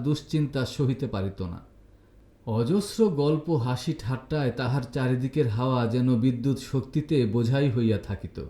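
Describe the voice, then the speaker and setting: Mellow male storyteller with roomy echo. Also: a little high. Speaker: story narrator. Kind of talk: scripted literary narration.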